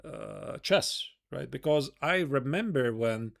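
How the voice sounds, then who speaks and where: Man, Latvian accent, medium pitch, casual live chess commentary, short think-aloud phrases, rhetorical questions. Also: lively intonation, slow, vocal fry.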